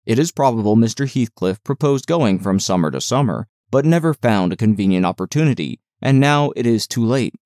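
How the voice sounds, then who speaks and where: Man reading as a coaxing servant woman, lively intonation. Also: medium pitch, a little fast, hardly any pauses, dry recording, studio quality, close to an everyday voice. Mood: soothing. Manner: soothing, explanatory. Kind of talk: audiobook character dialogue.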